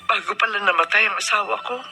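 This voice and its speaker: A woman playing a patient, middle-aged mother in a Filipino radio drama, speaking in an earnest, gently pleading way. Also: mid-range voice, fast talker, a little hiss or hum. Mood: sorrowful, reassuring. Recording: radio drama with music bed.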